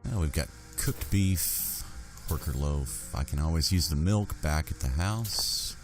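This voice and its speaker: Man, very low pitch, casual in-character game commentary, musing asides, light background music. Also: expressive, unhurried. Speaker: gamer roleplaying his character.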